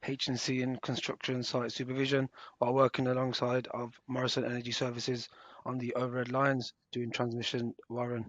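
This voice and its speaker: A man in his twenties, an apprentice guest, answering interview questions in a relaxed, informal way with some halting restarts. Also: medium pitch, no echo, dull audio. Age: 20s.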